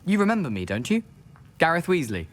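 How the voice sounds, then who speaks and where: A man's voice: on the high side, very animated, brisk. A man voicing a cocky, persuasive schoolboy potion tinkerer in a video game, confident and wheedling. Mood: coaxing, confident.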